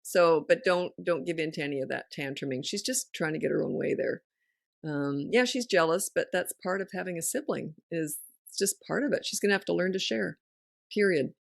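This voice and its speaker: A woman's voice: on the low side, brisk, creaky, dry recording, clean studio sound. A middle-aged woman, a parenting coach hosting a podcast, giving frank advice in a blunt, no-nonsense way. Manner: blunt, no-nonsense, laughs often. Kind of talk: casual advice chat.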